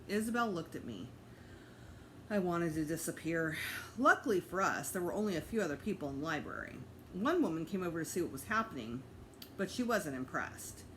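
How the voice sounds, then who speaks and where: Female teacher, storybook narration for kids: very expressive intonation, slightly low pitch, faint noise behind. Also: poor audio. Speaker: teacher reading aloud to students.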